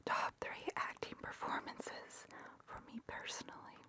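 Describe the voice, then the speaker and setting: A woman speaking in a breathy whisper, rambling informally and sharing opinions in an ASMR style as the host. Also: a little slow.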